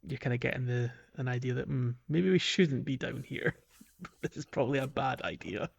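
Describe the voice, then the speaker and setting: Male podcast co-host, gravelly medium-pitched voice, loose rambling game chat. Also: moderate pace. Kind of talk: casual chat about a video game.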